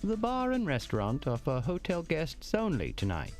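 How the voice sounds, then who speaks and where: Female hotel manager, audio drama: officious, courteous, very animated pitch, faint music underneath. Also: moderate pace. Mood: formal, aloof. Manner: formal, prim, slightly condescending.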